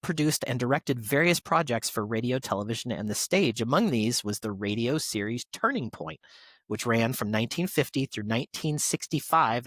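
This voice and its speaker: A man's voice: mid-range voice, fairly bright tone. A male podcast host with a mid-range voice reads semi-scripted tributes. He delivers them like an announcer, with an enthusiast's personal touch. The sound is dry, with no room echo. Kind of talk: reading birthday tributes to actors, semi-scripted podcast segment.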